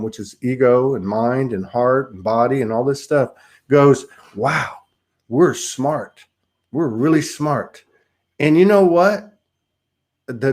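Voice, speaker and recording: A man's voice: mid-range voice, darkish tone. A man hosting a spiritual conversation, musing aloud in a rambling way with many repeats. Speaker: host.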